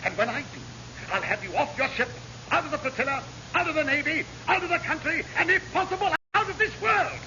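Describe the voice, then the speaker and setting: A blustering senior naval officer in a vintage radio comedy. He is officious and easily exasperated, his high voice leaping about. The sound is muffled, with clear noise. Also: expressive, a little fast. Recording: dull, noisy old radio recording.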